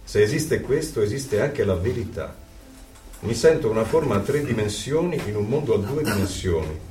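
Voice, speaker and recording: An actor playing a dissident in love, giving a lyrical, contemplative inner monologue in an Italian radio drama. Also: male voice, fairly low pitch, expressive, normal speed, darkish tone, distant mic, natural voice. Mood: wistful. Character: reflective male lover, inner voice of a dissident. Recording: echoey, off-mic radio play over music.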